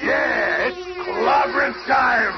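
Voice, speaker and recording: A man's voice: very high voice, slow pace, gravelly, shouting, over a noisy crowd, exaggerated voice. An actor playing a belligerent, wisecracking tough guy with slangy bluster in a superhero radio drama. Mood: cocky. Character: gruff brawling male tough guys, wisecracking and belligerent. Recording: very noisy, muffled radio recording.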